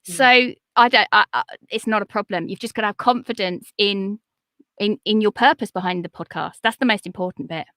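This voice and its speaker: A woman's voice: fairly quick, fairly bright tone, no echo. A woman in her forties from England, a podcast coach talking as an interview guest in an upbeat, encouraging way. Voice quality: high-pitched. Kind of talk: casual interview chat.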